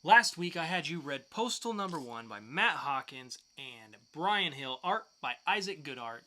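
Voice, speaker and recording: Bright, higher-voiced man co-hosting a podcast. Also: big pitch swings, unhurried. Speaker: podcast co-host. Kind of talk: upbeat scripted podcast presenting.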